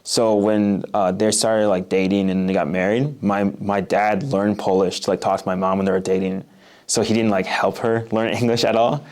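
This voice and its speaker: A man's voice: lowish voice, steady tone, moderate pace, darkish tone. A nineteen-year-old man with a Midwestern American accent, reading a passage and then chatting casually in an interview, with lots of "like" fillers. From Oak Park, Illinois, USA. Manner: casual, uses many "like" fillers.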